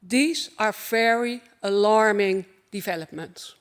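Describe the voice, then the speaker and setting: A woman with a Dutch accent giving a formal opening keynote at a conference, earnest and measured, in short phrases. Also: mid-range voice, smooth. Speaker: conference speaker.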